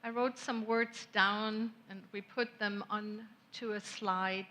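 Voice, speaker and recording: Slow bright-voiced woman minister. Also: mid-range voice, steady tone. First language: German. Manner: gentle, reflective, unhurried with long pauses. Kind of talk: sermon. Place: church sanctuary.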